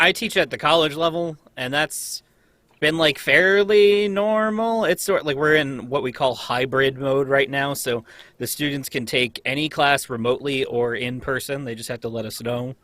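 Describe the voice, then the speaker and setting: A man's voice: fairly high pitch, moderate pace, bright, forceful tone, no echo. An American man who teaches college, chatting casually in a group conversation.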